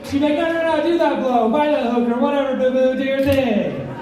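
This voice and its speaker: A man's voice: very high voice, brisk, darkish tone. A man in his thirties doing a crude, self-deprecating stand-up set. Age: 30s. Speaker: stand-up comic. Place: comedy club stage. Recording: echoey club from far off, noisy.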